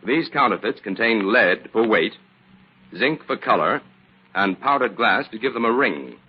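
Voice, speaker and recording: An actor playing a calm, shrewd scientific detective in an old-time radio drama, measured and assured as he explains. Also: on the low side, breathy edge, dull audio. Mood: assured, explanatory.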